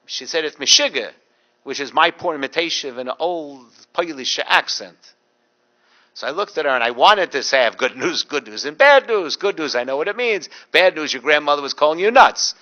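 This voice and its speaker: A middle-aged man gives a public talk as a rabbi, telling anecdotes with deadpan humor and little voices for the people in them. His voice is bright, with a high, lively pitch. The recording is dull and lo-fi. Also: a little fast. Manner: animated, mimics voices in anecdotes. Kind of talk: storytelling lecture with dry humor.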